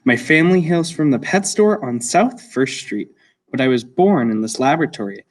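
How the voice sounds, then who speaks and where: Student acting as a pompous talking mole rat, swooping pitch. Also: lively intonation, normal speed, recorded from a distance, natural voice. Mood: pompous, courteous. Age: teenager. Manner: pompous, formal, precise. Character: haughty talking naked mole rat, know-it-all lab creature. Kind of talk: school radio play acting.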